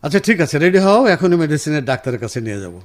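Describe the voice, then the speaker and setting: A man's voice: fairly high pitch, very animated, quick pace. A male actor playing a considerate, practical husband in a radio drama. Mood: caring. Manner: courteous, practical. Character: considerate, businesslike husband, polite and caring. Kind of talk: Bengali radio drama acting.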